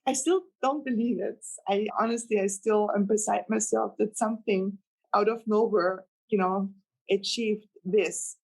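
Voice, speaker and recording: A woman's voice: medium pitch, fairly even intonation, unhurried, a little mellow, poor audio. A woman from Austria whose first language is German, speaking English with an Austrian accent, chatting earnestly as a guest novelist in an interview. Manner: earnest, rambling, laughs with delight. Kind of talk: casual interview chat.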